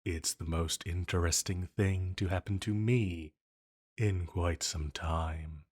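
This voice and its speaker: Man playing a deep, slow, seductive ancient villain. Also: steady tone, breathy edge, full character voice. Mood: smug, flirty. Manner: measured, silky, condescending, intimate. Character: ancient banished male villain, flirty, condescending, dangerously charming. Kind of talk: roleplay audio drama monologue.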